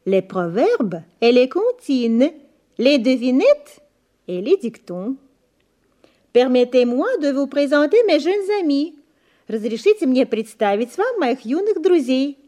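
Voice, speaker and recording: A woman hosting a scripted bilingual radio language lesson as guide and interpreter, formal and deliberate, repeating phrases. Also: on the high side, mellow.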